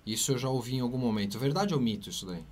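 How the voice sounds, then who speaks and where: A man hosting an interview podcast, asking questions in a relaxed, conversational way. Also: mid-range voice, rapid-fire. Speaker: podcast host.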